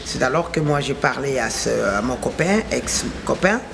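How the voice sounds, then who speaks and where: A woman's voice: very deep voice, expressive, normal speed, creaky, background noise. A woman from Kinshasa with a Congolese French accent, whose first language is Lingala, giving an emphatic religious testimony and restating her phrases in repetitive lists. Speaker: testifying believer.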